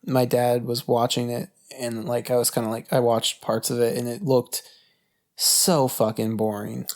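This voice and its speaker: A man's voice: mid-range voice, little pitch movement, unhurried. A young man co-hosting a podcast, chatting informally about movies, hesitant and peppered with swearing. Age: young adult. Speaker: podcast co-host. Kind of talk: casual movie chat.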